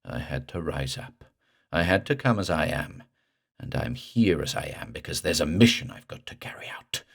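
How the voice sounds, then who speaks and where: A man voicing a drowned dead man risen from a lake, speaking in a slow, guttural, gurgling drawl. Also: extremely low pitch, animated, creaky, fairly bright tone, slightly rough, heavily acted. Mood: menacing.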